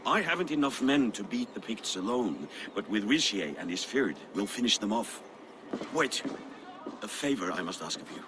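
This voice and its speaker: An actor playing a proud, scheming, hard-drinking Viking warrior lord in a video game, hearty and commanding. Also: male voice, fairly low pitch, animated, normal speed, noisy background, lightly acted. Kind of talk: video game dramatic acting.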